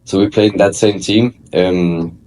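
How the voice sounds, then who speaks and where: Deep-voiced young Danish man. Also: unhurried, slight background noise, poor audio. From Denmark. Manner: relaxed, hesitant, many fillers and restarts. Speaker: guest, college soccer player. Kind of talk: casual interview chat.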